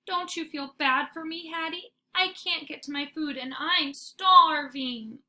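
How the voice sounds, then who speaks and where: Eager, hungry pet dog for a children's storybook, woman performer: high, bright, exaggerated, excitable. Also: a little slow, full character voice. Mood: pleading. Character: eager, dramatic, food-obsessed male pet dog. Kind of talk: first-person children's storybook narration.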